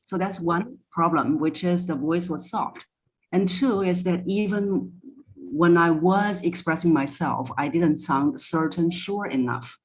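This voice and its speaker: A woman's voice: lowish voice, measured pace, rough recording. A woman speaking as a guest voice coach, explaining her points in an interview.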